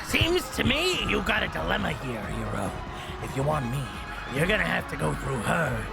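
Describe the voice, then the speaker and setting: Guy doing a mocking male villain, high gravelly voice, wild pitch swings, audio drama, loud music. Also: sing-song. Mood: taunting. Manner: slow, mocking, taunting. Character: taunting, cackling male gunman villain.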